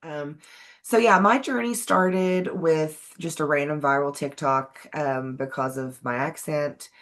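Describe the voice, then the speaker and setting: Southern american woman, really low voice, earnest casual chat. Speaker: co-host, advocate. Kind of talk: casual conversation.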